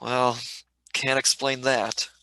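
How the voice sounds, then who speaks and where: A man's voice: medium pitch, level tone, takes it slowly, bright, forceful tone, clear, resonant tone, dry recording, low-quality recording. An actor playing a cheeky, skeptical young man with slangy speech in a radio play reading.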